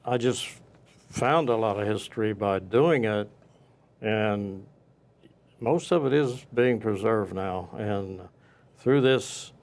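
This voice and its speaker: Slow-spoken man recounting local history. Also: lowish voice, darkish tone. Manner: halting, pauses mid-sentence. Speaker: local historian, interview guest.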